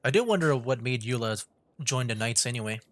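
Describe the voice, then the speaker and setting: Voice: mid-range voice, lively intonation, fairly quick, no echo. A streamer casually commenting on a game, thinking aloud informally and reading dialogue choices out.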